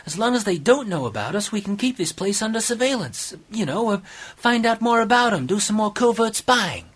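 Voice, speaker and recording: A woman's voice: mid-range voice, sing-song, fairly quick, breathy edge. A woman playing a cautious, secretive investigator in an amateur radio play, insistent and explanatory. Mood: explaining, persuasive. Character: cautious, secretive female investigator spying on a cult.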